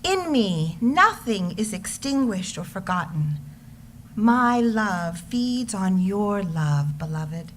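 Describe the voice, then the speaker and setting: A woman's voice: mid-range voice, a little hiss or hum. A woman giving a dramatic, lingering recitation of poetry as a reader in readers theater. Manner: slow, expressive, lingering phrasing.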